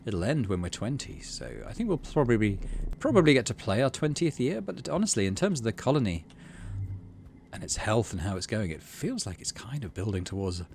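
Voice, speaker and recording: A man commentates a story game playthrough in a low voice. His intonation is animated and conversational, shifting between reading aloud and musing, with faint noise behind him. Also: fairly quick. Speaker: gaming video host. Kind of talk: casual playthrough commentary and narration.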